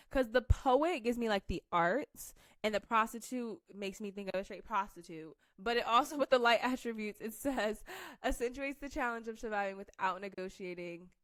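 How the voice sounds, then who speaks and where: A young woman with an American accent talks through a tarot reading in a loose, chatty way, often stopping to reread card text and correct herself. Her voice sits in an ordinary middle pitch. The sound is close and dry, with no room echo. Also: a bit bright. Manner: chatty, rambling, self-correcting, reads card text aloud. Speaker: tarot reader.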